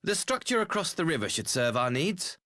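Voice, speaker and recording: High-voiced, officious young ambassador. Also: male voice, very animated, moderate pace, bright, forceful tone, no room sound, clean studio sound. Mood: polite, businesslike. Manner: formal, smoothly polite. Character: courteous, officious young male imperial ambassador. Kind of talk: dramatic game voice acting.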